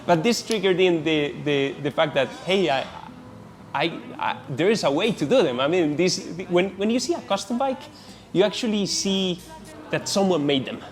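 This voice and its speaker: A man's voice: high-pitched, lively intonation, normal speed, faint music behind. A man with a Colombian accent, a native Spanish speaker, casually telling his story as a company founder in an interview.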